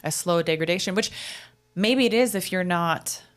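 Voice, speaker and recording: A woman in her thirties hosting a podcast interview, asking eager, curious questions and thinking out loud. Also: lowish voice, normal speed. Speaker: podcast host. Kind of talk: interview chat.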